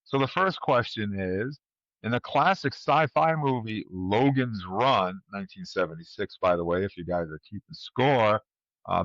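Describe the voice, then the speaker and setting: A man's voice: on the low side, fairly bright tone, dry recording. A man hosting a casual trivia chat, talking conversationally to his panel over a slightly dull microphone. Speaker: podcast host running a trivia quiz.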